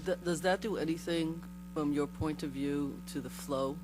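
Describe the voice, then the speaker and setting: Hoarse man with a cold, mains hum behind. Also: a little high, steady tone, moderate pace. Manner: apologetic, rambling questions. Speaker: board member asking questions. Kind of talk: public meeting questioning. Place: village board meeting room.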